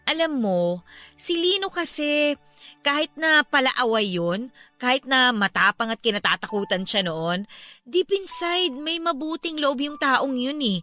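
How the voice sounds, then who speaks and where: A woman's voice: high voice, unhurried, pushed and bright, soft background music. A woman playing a frank, chatty young friend in a radio-style love drama, talking expressively and conversationally. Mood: reminiscing.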